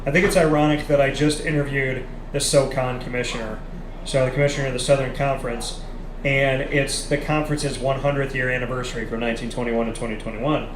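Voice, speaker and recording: A man's voice: mid-range voice, fairly even intonation, a little fast. A man hosting a live sports talk, speaking emphatically and repeating his points for stress. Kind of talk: live casual sports discussion. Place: café. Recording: echoey café with background noise.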